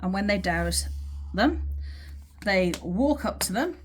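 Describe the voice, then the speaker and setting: A woman teaching in an informal, explanatory way, with measured, emphatic phrasing and pauses as she talks through a drawing. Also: mid-range voice, expressive. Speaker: teacher of earth energy dowsing.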